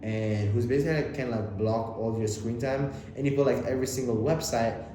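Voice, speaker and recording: A young man from Lebanon whose first language is Arabic, giving casual self-improvement advice to the camera, talking fast with many restarts and fillers. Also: mid-range voice, fairly even intonation. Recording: very echoey room with clear background noise.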